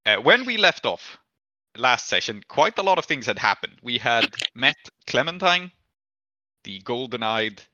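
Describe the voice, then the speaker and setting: A man's voice: medium pitch, pushed and bright, no room sound, highs cut off. A man hosting a tabletop roleplaying podcast as game master, recapping the story casually with hesitant, trailing asides.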